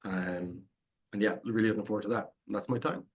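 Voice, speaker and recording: A man with a fairly low voice gives a vendor pitch over a video call. He moves quickly and fills his pauses with "um.". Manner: quick, filler-laden pitching. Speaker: vendor presenter. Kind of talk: pitch presentation.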